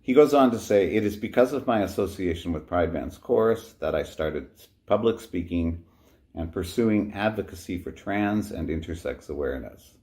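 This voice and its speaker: A man's voice: sits low, animated, normal speed. A man hosting a show, introducing a performance, reading an excerpt aloud and telling a story about a choir member. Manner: warm storytelling, quoting himself and others. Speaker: host.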